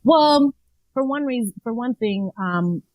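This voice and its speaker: A woman's voice: a little high, animated, a little slow, darkish tone, no echo, low-quality recording. A young adult woman from Rwanda, talking as an interview guest about her nonprofit in a candid, chatty way with fillers and restarts. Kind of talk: casual conversational interview.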